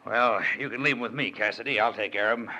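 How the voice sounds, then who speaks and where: An actor playing a shifty, evasive outlaw villain who stammers and hedges in a 1940s radio drama. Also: male voice, fairly low pitch, quick pace, bright, forceful tone, rough recording. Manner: stammering, wheedling, full of uh and why-why. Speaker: villain Rucker.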